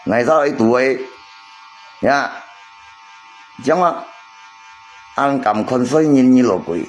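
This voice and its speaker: A man's voice: medium pitch, animated, slow pace, faint music behind, rough recording. A man chatting casually on a livestream, repeating himself and arguing his point to viewers. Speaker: live streamer.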